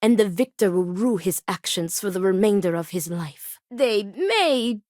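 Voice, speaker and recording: An actress voicing a grave, principled and devout woman in a video game, speaking in formal, measured, stern phrases. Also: mid-range voice, animated, darkish tone, no echo, studio quality, lightly acted. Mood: grave, earnest. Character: grave, principled woman acting as duel second, devout, weary. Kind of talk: video game character dialogue.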